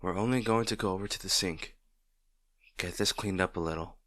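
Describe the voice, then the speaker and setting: A man playing a gentle, protective boyfriend in an intimate roleplay audio, speaking in a tender, reassuring way. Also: deep voice, fairly quick, no room sound, studio quality. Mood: tender, soothing. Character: gentle, protective boyfriend comforting a distraught partner.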